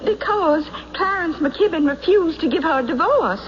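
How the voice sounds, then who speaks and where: A woman's voice: very high voice, lively intonation, normal speed. A woman playing a haughty, spiteful wronged wife in an old radio drama, prim, melodramatic and accusatory, on a muffled recording. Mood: scornful, indignant. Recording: muffled old radio broadcast, faint noise.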